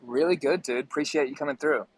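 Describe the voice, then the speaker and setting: A man's voice: on the high side, fast, husky, no echo, rough recording. Casual male host with a dry, close sound. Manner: friendly, appreciative. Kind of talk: casual hosting of a live audio discussion.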